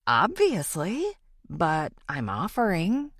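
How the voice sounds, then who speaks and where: A woman's voice: lowish voice, huge pitch swings, slow pace, no room sound, studio quality, heavily acted. A woman voicing a charming, easygoing young man with a playful, teasing manner in an audiobook. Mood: friendly. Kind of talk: audiobook character dialogue.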